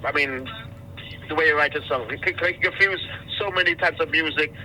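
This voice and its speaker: A man's voice: a little high, moderate pace, pushed and bright, slight background noise, poor audio. A man hosting a radio interview, friendly and stammering, dropping in patois phrases. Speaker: radio host.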